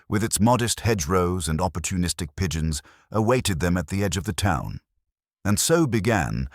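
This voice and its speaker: A man narrating a scripted mystery story in a dry, droll manner with deliberate phrasing. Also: deep voice, no echo.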